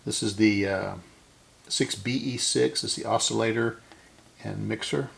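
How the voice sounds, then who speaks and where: A man's voice: lowish voice, steady tone, a little slow, gravelly, a bit bright. A middle-aged man hosting a casual hands-on repair demonstration, talking to himself unscripted as he works. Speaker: host of a vintage electronics repair channel. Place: indoor electronics workbench.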